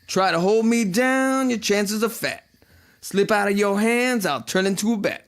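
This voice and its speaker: An actor playing a cocky, theatrical vampire rock star, telling boastful and flippant stories in a podcast drama. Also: male voice, sits high, lively intonation, unhurried. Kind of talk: fiction podcast acting, mock interview. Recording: music playing behind the voice.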